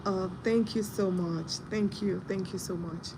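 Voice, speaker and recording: A young woman hosting a casual live stream, husky from a sore throat, telling a story and greeting viewers by name. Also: medium pitch, fairly even intonation, a little slow, noisy background.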